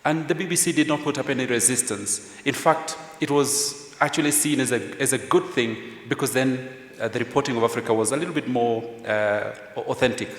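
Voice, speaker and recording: Kenyan man speaking in an echoey hall. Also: mid-range voice. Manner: measured, with frequent hesitations and repeats. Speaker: panelist, former broadcast editor. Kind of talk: panel discussion remarks. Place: large conference hall. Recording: echoey conference hall with long reverb.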